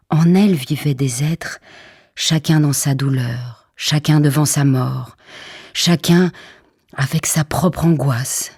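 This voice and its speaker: Husky, slow-paced woman reciting poetry. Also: a bass voice, a little mellow. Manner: measured, solemn delivery with deliberate phrasing. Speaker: poetry reader. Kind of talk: dramatic poetry recitation for an audiobook. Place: radio theatre recording studio.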